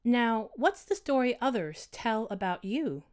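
A woman voicing a calm, kindly female owl, a gentle and sympathetic listener in a storybook tale. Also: a little high, voice pushed up. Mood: calm, curious. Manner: soothing, sympathetic, unhurried. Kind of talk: acted storybook character dialogue.

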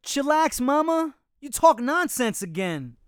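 A swaggering hip-hop chimp. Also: male voice, very high voice, big pitch swings, a little slow, no echo, studio quality, voice pushed up, full character voice. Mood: cocky. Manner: slangy street talk, boastful salesman patter. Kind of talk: audiobook character voice.

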